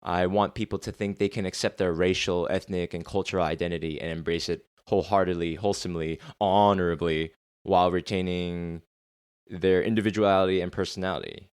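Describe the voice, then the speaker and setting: Deep-voiced earnest man podcasting solo. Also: steady tone, a little fast, smooth, dry recording, studio quality. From United States. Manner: earnest, candid, swears now and then. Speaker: podcast host. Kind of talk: solo reflective podcast monologue.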